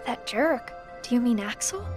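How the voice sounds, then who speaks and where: An actress playing a gentle, loyal teenage girl in a video game, sincere and a little hesitant. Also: on the high side, expressive, normal speed, over music, close to an everyday voice. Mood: caring. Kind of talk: video game cutscene acting.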